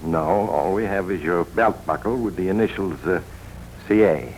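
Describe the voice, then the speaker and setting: A man plays a hospital doctor in an old radio drama. His voice is low and measured, with a soothing professional manner. A faint hiss runs under the recording. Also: a little mellow, close to an everyday voice, rough recording. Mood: matter-of-fact. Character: calm, reassuring male hospital doctor. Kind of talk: 1940s radio drama acting.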